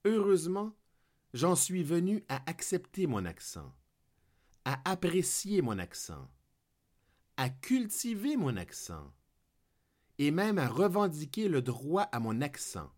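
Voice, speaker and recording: A man's voice: a little high, wildly swooping pitch, slow pace, long pauses, darkish tone. A man from Quebec with a Québécois French accent, hosting a podcast and reading a scripted humorous monologue full of declamatory wordplay. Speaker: podcast host.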